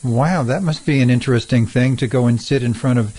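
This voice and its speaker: A man's voice: medium pitch, a little fast, warm tone, husky. A man hosting a podcast, asking curious, rambling questions in casual chat. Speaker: podcast host.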